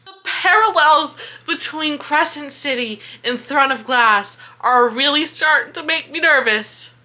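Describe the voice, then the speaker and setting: A young woman chatting casually about a book she is reading along with, animated and exasperated, swearing as she thinks aloud. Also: high-pitched, a little slow.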